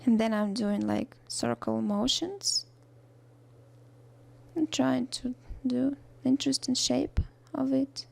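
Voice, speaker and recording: A young woman, a native Russian speaker with a Russian accent, narrates a drawing tutorial in halting, soft-spoken English with many fillers and restarts. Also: sits high, creaky.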